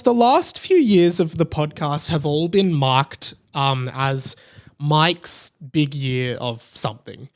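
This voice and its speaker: Male podcast host, young Australian, voice a little high, effusive and conversational. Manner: enthusiastic, effusive. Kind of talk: casual solo podcast chat.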